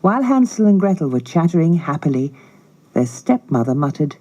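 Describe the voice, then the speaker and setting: A woman narrating a children's storybook in a measured, expressive, scripted style. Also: deep voice, rough recording. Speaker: audiobook narrator.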